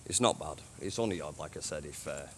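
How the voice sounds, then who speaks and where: A man who cleans a train station, giving a casual walking tour on his phone, chatty and rambling, with a deep voice. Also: fairly quick, fairly bright tone, slight background noise. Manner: chatty, rambling, says "you know what I mean". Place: old train station toilets and platforms at night. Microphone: handheld phone.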